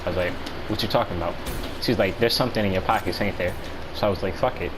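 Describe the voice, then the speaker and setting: Low-voiced American man, slangy and offhand. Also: fast. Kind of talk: casual storytelling chat during gaming. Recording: noisy game audio with gunfire. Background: video game gunfire and vehicle sounds.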